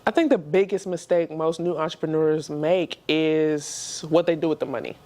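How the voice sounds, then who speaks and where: A woman's voice: deep voice, fairly even intonation, moderate pace, mellow. Reflective woman in her thirties. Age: 30s. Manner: reflective, conversational, frequent "you know what I'm saying". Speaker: podcast guest, entrepreneur. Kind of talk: casual interview conversation.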